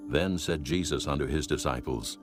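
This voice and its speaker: A man narrating scripture in archaic English as a solemn, measured storyteller. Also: rumbling low, over music.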